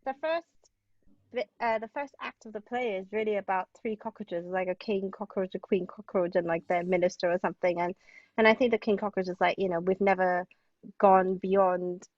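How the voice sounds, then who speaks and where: A woman's voice: mid-range voice, steady tone, moderate pace, a little mellow, no echo. Distant, muffled woman, enthusiastic and digressive. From Hong Kong. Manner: enthusiastic, digressive, peppered with "like" and "you know". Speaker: guest playwright. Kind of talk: casual literary discussion. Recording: distant, dull lo-fi sound.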